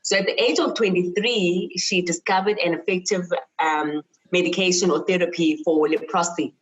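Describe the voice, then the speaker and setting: A woman's voice: on the low side, fairly even intonation, normal speed, muffled recording, slight background noise. A middle-aged woman with a South African accent, speaking in a reflective, personal interview style, earnest and emphatic, repeating phrases for stress. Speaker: university chemist and lecturer, interview guest.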